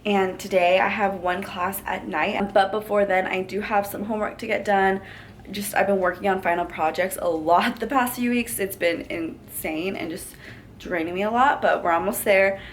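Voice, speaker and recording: A woman's voice: medium pitch, steady tone, normal speed. A woman in her twenties, a grad student chatting casually in a vlog, sounding congested from allergies. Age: 20s. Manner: chatty, upbeat, rambling with many likes. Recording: noticeable background noise.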